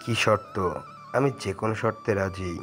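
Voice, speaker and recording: A man's voice: fairly low pitch, fairly even intonation, normal speed, fairly bright tone, faint music behind, natural voice. A man playing a poor middle-aged father who pleads submissively with his brother. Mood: pleading.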